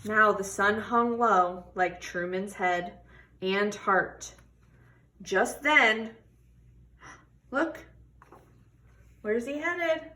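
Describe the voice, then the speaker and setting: A woman's voice: medium pitch, extremely slow, long pauses, pushed and bright. A woman reading a children's picture book aloud expressively, stretching words for emphasis and putting questions to young listeners. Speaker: read-aloud storybook reader.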